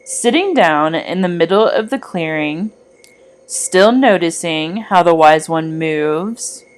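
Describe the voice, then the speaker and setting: A woman reading a scripted guided meditation in a measured, gently instructing manner. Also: fairly low pitch, animated, clear, resonant tone.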